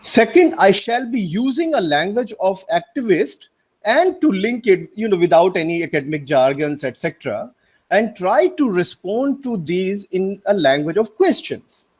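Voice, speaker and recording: A man's voice: high voice, big pitch swings, a little mellow, slightly rough. Indian man speaking through a headset. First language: Hindi. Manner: measured, frequent hesitations. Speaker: panel speaker. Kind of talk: academic talk on a video call. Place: home room.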